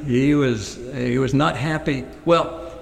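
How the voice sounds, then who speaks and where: A man's voice: mid-range voice, steady tone, slow pace, vocal fry. A man from Pennsylvania whose first language is Pennsylvania Dutch, recalling his upbringing in an oral history interview. He talks hesitantly, with many fillers and restarts. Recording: long reverb with faint noise.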